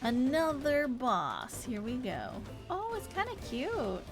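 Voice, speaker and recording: Woman gamer doing casual live commentary, slow halting bits to herself, super lively pitch, loud game music behind. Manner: talks to herself in short, halting phrases. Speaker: game livestreamer.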